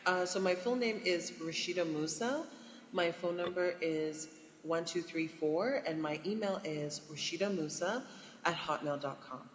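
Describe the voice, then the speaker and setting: A woman's voice: lowish voice, unhurried, slight background noise. A woman from Ghana, giving short, hesitant answers as a caller in a demo call. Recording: roomy hall with faint ambience.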